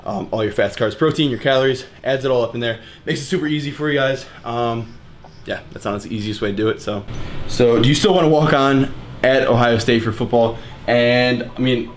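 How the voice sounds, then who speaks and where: A man's voice: mid-range voice, brisk, a little hiss or hum. A nineteen-year-old man with an American accent, chatting casually and informally as he answers viewer questions in a fitness vlog.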